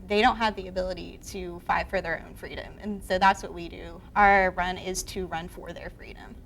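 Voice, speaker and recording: A woman's voice: fairly low pitch, steady tone, moderate pace, vocal fry, fairly bright tone, slight background noise, rough recording. Earnest young woman interviewee. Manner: earnest, with ums and uhs. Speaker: charity run organizer interviewee.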